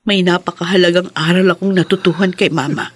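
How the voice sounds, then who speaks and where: A woman's voice: fairly low pitch, fairly even intonation, husky. An actress playing a steadfast, loving wife and mother in an earnest, emotional Filipino radio drama. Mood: tearful resolve. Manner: earnest, emotional, measured phrases. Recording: music behind the voice.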